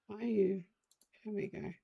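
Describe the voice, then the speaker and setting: A woman live streamer with the flu, hoarse, chatting casually and rambling to her viewers. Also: mid-range voice, fairly even intonation, normal speed, lo-fi sound.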